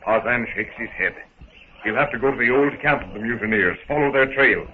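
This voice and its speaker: A man's voice: mid-range voice, brisk. Theatrical male narrator on vintage radio. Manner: theatrical, present-tense storytelling. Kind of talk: dramatic 1930s radio narration, scripted. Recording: scratchy, phone-like 1930s radio recording.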